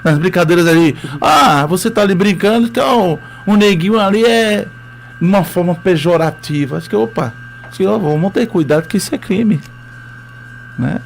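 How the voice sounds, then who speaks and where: A man's voice: sits high, expressive, moderate pace, gravelly, a little hiss or hum. A man with a Northeastern Brazilian accent, a history teacher telling his story as a radio interview guest, hesitant with many fillers.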